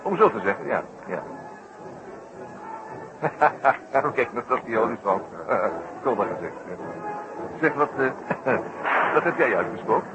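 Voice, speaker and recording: An actor playing a shrewd, amused detective inspector who muses and repeats himself, in 1960s radio drama style. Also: male voice, medium pitch, sing-song, slow pace, pauses often. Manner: musing, hesitant, repeats his words. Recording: muffled, noisy old radio recording.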